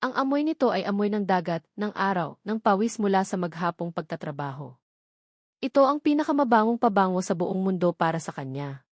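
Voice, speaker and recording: A woman's voice: mid-range voice, animated, fairly quick, darkish tone, dry recording. Female Filipino storyteller, mid-range voice, dry recording, scripted dramatic narration. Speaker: audiobook narrator.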